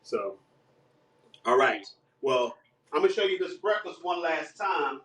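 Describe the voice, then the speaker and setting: A man's voice: a little high, animated, measured pace, a bit bright. A man chatting casually on a live stream while cooking breakfast, laughing often and breaking into playful bits of song. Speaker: guest live streamer and singer. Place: home kitchen. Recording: echoey room, voice far from mic.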